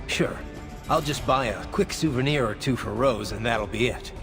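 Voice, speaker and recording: An actor playing a gruff, sardonic cyborg swordsman in a video game, terse and gravelly. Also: medium pitch, normal speed, fairly bright tone, soft background music, lightly acted. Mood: dry, sarcastic. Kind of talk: dramatic video game voice acting.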